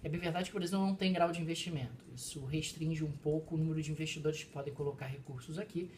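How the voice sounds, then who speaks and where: Quick man explaining economics. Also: fairly high pitch, steady tone, darkish tone, slight background noise. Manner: explanatory, measured lecturing on economics. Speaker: co-host, professor. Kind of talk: casual chat.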